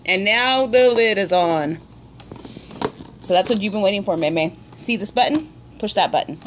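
Woman, parent, home cooking video, patient, encouraging, step-by-step instructions, mid-pitched voice, faint background hiss. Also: animated, normal speed. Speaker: parent cooking with her child. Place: indoor home room at a table.

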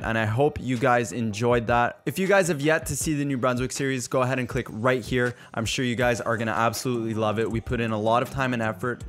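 A man's voice: mid-range voice, a bit bright. A man in his twenties hosting a fishing channel, giving an earnest, upbeat scripted update straight to camera from a small studio on a close mic. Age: 20s. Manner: earnest and upbeat, addresses viewers as "you guys". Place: small dark indoor studio room. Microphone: studio mic on a boom arm, close.

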